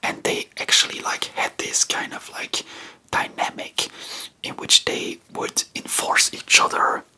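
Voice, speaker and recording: Very slow, whispering ASMR host, non-native speaker. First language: not English. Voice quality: soft, breathy, unvoiced whisper. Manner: rambling, spontaneous, hesitant, informal. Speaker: ASMR video creator and host. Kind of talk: whispered ASMR chitchat about history.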